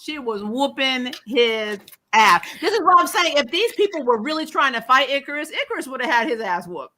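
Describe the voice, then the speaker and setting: A woman hosting a casual movie review chat, telling it in an animated, sarcastic way with plenty of swearing. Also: sits high, normal speed. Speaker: podcast host.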